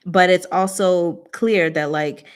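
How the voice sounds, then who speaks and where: A woman's voice: lowish voice, steady tone, takes it slowly. A woman hosting a casual book discussion, explaining things informally with playful, rambling asides.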